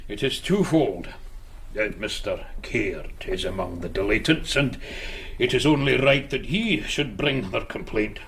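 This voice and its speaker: A man's voice: medium pitch, expressive, a little slow, vocal fry, fairly bright tone. A man playing a stern, pompous senior Scottish churchman in a radio drama, scolding in formal archaic speech. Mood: stern, weighty. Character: stern, blustering senior Scottish churchman presiding over an inquiry.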